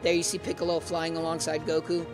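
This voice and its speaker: High-voiced hesitant male stream host. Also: monotone, quick pace. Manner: hesitant, lots of fillers and restarts. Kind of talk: live stream gameplay commentary. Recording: clear music underneath.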